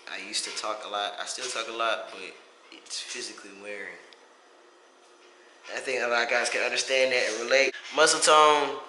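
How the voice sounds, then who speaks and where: A man's voice: medium pitch, steady tone, normal speed, pushed and bright. A man giving a casual, rambling personal update to camera in an informal, self-reflective way. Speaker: vlogger. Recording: echoey room with faint hiss.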